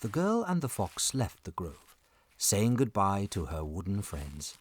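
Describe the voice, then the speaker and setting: A man narrating a scripted children's story as an expressive storyteller. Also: on the low side, a little slow, husky.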